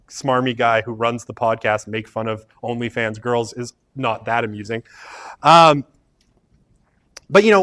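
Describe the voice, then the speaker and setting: A man's voice: mid-range voice, fairly bright tone. Middle-aged guy lecturing a big sociology class in an auditorium, chatty, rambly, keeps saying right?. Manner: rambling and self-deprecating, frequent "right?" tags. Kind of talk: casual university lecture. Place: university auditorium.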